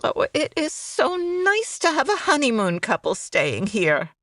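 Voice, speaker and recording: Timid innkeeper lady, played by an actress: highish, swooping voice, flustered and apologetic, radio mystery parody. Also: huge pitch swings, unhurried, a bit bright, no echo, clean studio sound, heavily acted. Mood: chatty, nervous. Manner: flustered, hesitant stammering. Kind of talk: old-time radio mystery parody acting.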